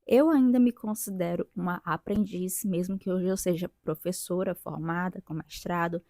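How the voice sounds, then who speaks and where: A woman in her twenties from Brazil, an English teacher and linguist, talking about her own experience in a reflective vlog with pauses and restarts. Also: on the low side, mellow, dry recording, studio quality. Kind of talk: casual personal vlog.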